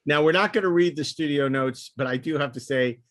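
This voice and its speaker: A man's voice: on the high side, a little fast, fairly bright tone, dry recording, studio quality. A man hosting a podcast, talking through and reading out notes in a casual panel discussion. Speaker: podcast host.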